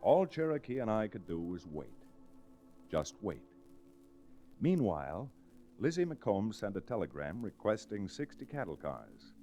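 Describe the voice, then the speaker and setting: An actor playing a firm, suspicious frontier lawyer in an old-time radio Western, with dramatic, deliberate delivery. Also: male voice, fairly low pitch, sing-song, dark tone. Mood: grave, brooding. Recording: noisy vintage radio recording.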